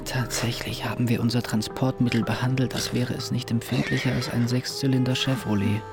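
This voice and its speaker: A man's voice: mid-range voice, flat delivery, a little fast, loud music behind the voice, natural voice. An actor playing a male war veteran who looks back with wry, understated melancholy in a German radio play. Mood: wry reflection.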